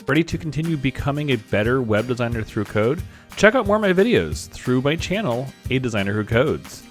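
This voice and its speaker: A man's voice: mid-range voice, big pitch swings, a little fast, faint music behind. A man hosting a step-by-step coding tutorial, explaining as he goes and spelling things out in an instructional way. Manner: instructional, spells out code letter by letter. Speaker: web design tutorial host.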